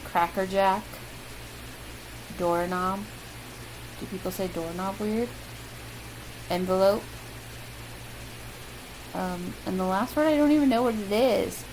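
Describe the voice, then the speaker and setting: A young woman with a Midwestern American accent, chatting casually through a word list with lots of ums and self-deprecating asides. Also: lowish voice. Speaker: vlogger. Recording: loud steady hiss.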